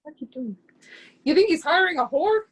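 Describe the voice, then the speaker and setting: A woman playing a proud, defensive, high-minded woman in a play reading, with an emphatic, declamatory way of talking. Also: lively intonation, brisk, rough recording. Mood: scornful, insistent.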